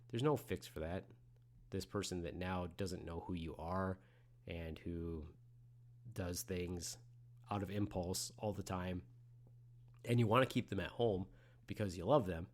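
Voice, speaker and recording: Brief: man in his forties, podcast host, low-ish voice, swears freely, shifts between loud outbursts and quiet reflection. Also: steady tone, a little slow, long pauses. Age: 40s. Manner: frank and profane, swinging from loud outbursts to quiet musing. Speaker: podcast host in the medical field. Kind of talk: casual solo podcast monologue.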